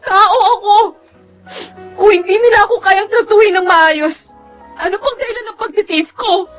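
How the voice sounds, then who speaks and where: An actress plays a young Filipino wife in a melodrama, hurt yet defiant. Her voice is very high, plaintive and emotionally charged, with music underneath. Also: normal speed, fairly bright tone. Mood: tearful, resolute. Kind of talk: melodramatic audio drama acting.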